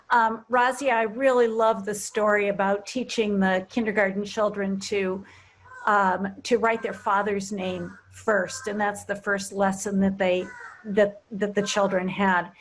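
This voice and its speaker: A woman's voice: mid-range voice, a little hiss or hum, rough recording. A woman moderating a panel discussion, asking panelists questions and often restarting her phrases.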